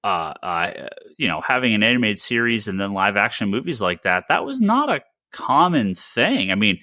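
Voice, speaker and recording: A man co-hosting a casual panel discussion, talking haltingly and often stammering over repeated words. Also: fairly low pitch, big pitch swings, sharp tone, no room sound, studio quality. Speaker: podcast co-host.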